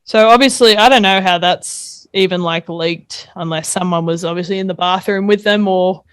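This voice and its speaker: A woman's voice: fairly low pitch, moderate pace, clear, resonant tone. Brief: laid-back, sweary young Australian woman, casual podcast co-host on a video call. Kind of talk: casual chat about rugby league.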